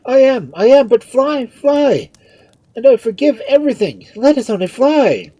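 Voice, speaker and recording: A woman voicing a desperate, impatient priest and father in a story, crying out loudly with urgent agony. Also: animated, moderate pace, husky. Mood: agonised, pleading. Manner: loud, high, screaming urgency.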